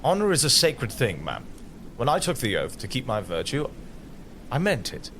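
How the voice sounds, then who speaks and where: An earnest knight of audio drama, with a mid-range, very expressive voice. He speaks solemnly, as one who holds to virtue, over faint outdoor ambience. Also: mid-range voice, moderate pace, slight background noise. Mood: solemn, pained.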